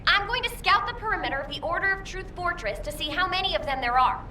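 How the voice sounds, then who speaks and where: Young female game fighter: bright, sharp, lively, cheeky, can't sit still, impatient. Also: very high-pitched, fast talker, slight background noise, exaggerated voice. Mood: eager. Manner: impatient, exclamatory, teasing. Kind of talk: video game voice acting.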